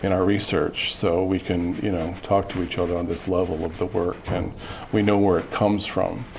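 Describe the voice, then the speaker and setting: A man with a very deep voice talks through his ideas slowly and thoughtfully, often pausing and restarting his sentences. A faint hiss sits under the recording. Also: little pitch movement, gravelly, darkish tone, low-quality recording. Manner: reflective, halting, many restarts and fillers. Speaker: guest artist. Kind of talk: interview conversation.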